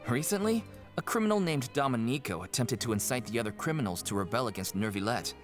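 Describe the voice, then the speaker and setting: An actor playing a friendly, earnest prisoner who explains things as a recruiter in a video game. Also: male voice, medium pitch, expressive, fast, faint music behind, natural voice. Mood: proud.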